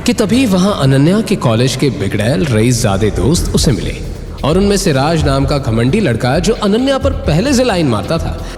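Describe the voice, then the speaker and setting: A man tells a dramatic serial story as narrator. His medium-pitched voice moves up and down a lot, with long reverb and music behind it. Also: big pitch swings, normal speed. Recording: echoing reverb, music bed.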